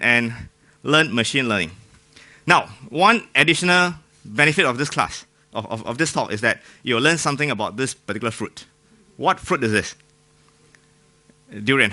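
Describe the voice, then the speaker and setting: Male conference speaker, Singaporean accent, bright forceful voice, mid pitch, informal and jokey, works the audience with questions. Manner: joking, informal, often asks the audience questions. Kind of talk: technical talk to a live audience.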